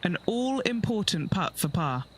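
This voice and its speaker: A woman's voice: mid-range voice, very animated, measured pace, background noise. A woman voicing an observant on-course golf reporter in a video game, reading putts and shots. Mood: thoughtful. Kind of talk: video game sports commentary.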